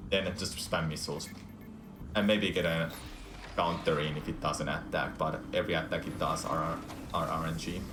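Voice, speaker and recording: Deep-voiced Finnish man narrating his gameplay. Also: steady tone, moderate pace, reverberant, noisy background. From Finland. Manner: laughs often, chatty running commentary. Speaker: speedrunner playing live. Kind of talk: casual commentary while gaming.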